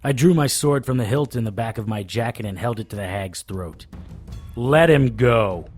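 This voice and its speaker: A man's voice: mid-range voice, normal speed, a little mellow, soft background music, lightly acted. A man playing a tough, wry monster hunter who narrates his story in a hard-boiled, dramatic first-person style. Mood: firm, defiant.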